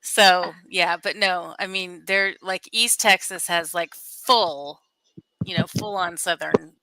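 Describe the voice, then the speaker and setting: A woman's voice: on the low side, fairly even intonation, takes it slowly, background noise. A woman from California hosting a casual chat, explaining things at length with laughs along the way. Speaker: podcast host.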